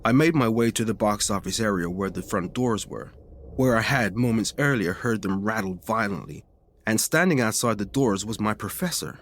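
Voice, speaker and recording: A man's voice: lowish voice, animated, moderate pace. A man reading a scripted first-person ghost story in a dramatic storytelling manner. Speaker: story reader.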